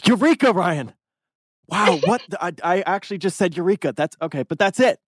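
A man's voice: high-pitched, animated, brisk, background noise. A man reading a wordy, lovesick teenage boy with big schemes, theatrical and rambling, at a TV drama table read. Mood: excited scheming.

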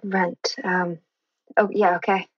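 A woman's voice: fairly low pitch, level tone, slow pace, no room sound. An actress playing an uncertain, sheltered rich teenage girl at a table read, with slightly dull sound. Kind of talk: table read of a TV teen drama script.

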